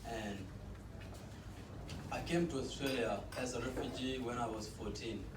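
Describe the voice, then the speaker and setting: A man's voice: medium pitch, unhurried. An eighteen-year-old man from South Sudan, a student cultural ambassador, reading an earnest personal statement with small restarts. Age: teens. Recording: echoey room, distant mic, noisy.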